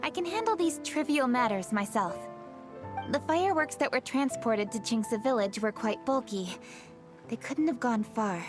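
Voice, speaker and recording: A woman's voice: fairly high pitch, animated, normal speed, close to an everyday voice. A woman voicing a poised, dutiful young woman official in a video game, speaking formally and with self-assurance. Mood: firm, determined. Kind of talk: English video game voice acting. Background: background music.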